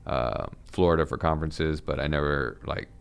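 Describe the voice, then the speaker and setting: A man in his thirties, a fitness coach talking as a podcast guest, halting with many fillers and restarts. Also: extremely low pitch, steady tone, vocal fry, smooth. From United States. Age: 30s. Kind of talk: casual conversation.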